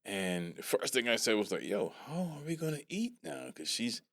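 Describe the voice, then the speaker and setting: A middle-aged man from Barbados hosting a podcast in casual, animated chat, repeating words and laughing often. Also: medium pitch, moderate pace, no room sound. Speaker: podcast host.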